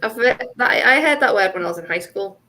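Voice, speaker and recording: A woman's voice: mid-range voice, animated, fairly quick, background noise. Chatty young woman. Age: 20s. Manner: giggly, rambling, second-guessing herself. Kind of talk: casual chat on a group call.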